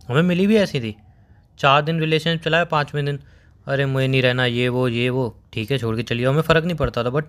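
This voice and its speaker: Tearful hoarse young man on a call. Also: mid-range voice. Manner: subdued, halting, reluctant to open up. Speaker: boyfriend on a phone call. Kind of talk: emotional phone conversation.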